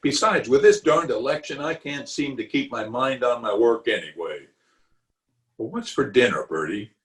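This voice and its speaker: A man's voice: medium pitch, animated, close to an everyday voice. A man playing a pompous, blustering middle-aged uncle in an old-time radio comedy, drawing his words out indignantly. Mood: grumbling. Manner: pompous, indignant, drawn-out delivery. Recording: remote home recording over video call.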